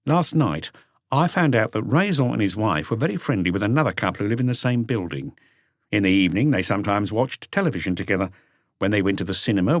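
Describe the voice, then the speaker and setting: A man's voice: medium pitch, no room sound, clean studio sound, lightly acted. A man voicing an eager, dutiful police inspector who reports to his chief in earnest detail, as an audiobook character.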